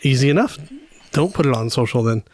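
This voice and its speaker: A man's voice: mid-range voice, lively intonation, fairly quick, darkish tone, slight background noise. A middle-aged man co-hosting a podcast with informal, slangy, conversational talk. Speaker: podcast co-host. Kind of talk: casual podcast chat.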